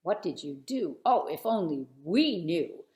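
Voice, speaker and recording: A woman's voice: on the low side, sing-song, a little slow, warm tone. A woman voicing a self-righteous male friend who mocks and scolds in rhyme. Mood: scolding. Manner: mocking, rhyming. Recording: roomy echo.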